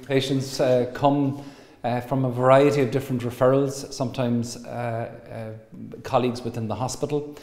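Male surgeon, 50s, halting delivery with fillers; warm timbre, lapel mic in echoey hospital room. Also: mid-range voice, level tone, faint music behind. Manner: halting, many filler pauses. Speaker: consultant surgeon. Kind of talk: interview explanation. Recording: echoey room with long reverb.

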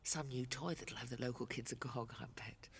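An actress playing a frail, tender but feisty elderly British mother in a video game, with a breathy voice. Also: very deep voice, fairly even intonation, moderate pace, a little hiss or hum. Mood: fond, feisty. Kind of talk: video game cutscene drama.

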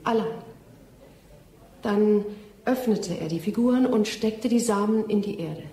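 An actress playing a composed young lady giving measured testimony in a German radio drama. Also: mid-range voice, dark tone, natural voice. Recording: echoing courtroom-like room.

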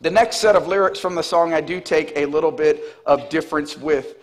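A man in his thirties, a pastor, preaching an animated sermon on a church stage. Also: on the high side, a little fast. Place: large church stage, indoors. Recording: long hall reverb.

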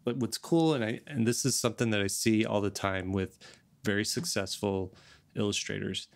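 Male podcast host, middle-aged, slightly low with vocal fry, conversational and halting. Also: fairly even intonation. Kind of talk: casual podcast chat. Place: home studio, on a video call. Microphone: large desk microphone, close.

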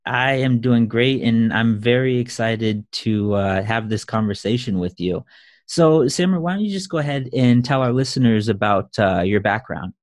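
A man's voice: medium pitch, normal speed, dry recording. Man hosting, with close dry sound. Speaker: podcast host. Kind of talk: scripted intro, then interview questions. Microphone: headset.

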